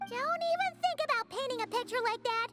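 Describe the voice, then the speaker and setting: A woman voicing a tiny, excitable, childlike fairy companion in a video game, squeaky and exclamatory. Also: a little fast. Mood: indignant. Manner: refers to herself in third person, exclamatory. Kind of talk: animated video game voice acting. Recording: music behind the voice. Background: game music.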